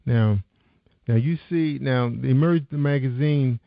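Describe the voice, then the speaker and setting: Male host, deep gravelly tone, chatty rambling style, lo-fi dry sound. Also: measured pace, no room sound. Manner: chatty and loose, rambling questions with restarts. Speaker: interview host. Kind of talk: casual interview talk.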